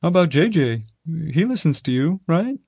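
A man's voice: on the high side, big pitch swings, normal speed, darkish tone, breathy edge, dry recording, pitched above the performer's own voice, exaggerated voice. A man voicing an earnest, anxious young woman zoo worker who pleads for help. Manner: eager, pleading.

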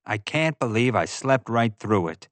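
A man voicing an excitable, carefree adventure lover in an audiobook, talkative and casual. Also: medium pitch, a little slow, no room sound, lightly acted. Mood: disappointed. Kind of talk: audiobook character voice.

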